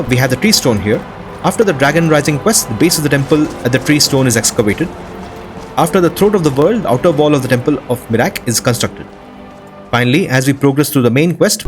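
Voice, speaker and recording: A man hosting gameplay commentary on game mods in an enthusiastic, appreciative way. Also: a little high. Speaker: host of a game modding news show. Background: prominent game music.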